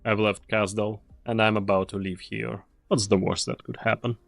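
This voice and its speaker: A man playing a weary, fatalistic mercenary in an anime game fan dub, speaking gravely and deliberately. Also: fairly low pitch, flat delivery, dry recording. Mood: resolute, somber.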